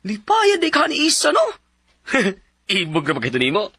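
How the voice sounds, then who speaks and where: A man's voice: high voice, wildly swooping pitch, moderate pace, bright, forceful tone, full character voice. An actor playing a nosy, teasing male friend with a Cebuano accent in a radio drama. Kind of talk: Philippine radio drama acting.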